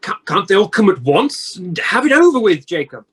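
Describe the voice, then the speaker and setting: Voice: mid-range voice, big pitch swings, moderate pace, breathy edge. An actor playing a grumpy, flustered old male miser in a radio play, blustering and stammering.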